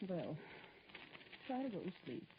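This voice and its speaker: An actress playing a sensible, soothing, slightly nagging wife in an old radio drama, coaxing and matter-of-fact. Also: female voice, on the low side, sing-song, unhurried. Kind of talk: mid-century American radio drama acting. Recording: muffled, very noisy old radio recording.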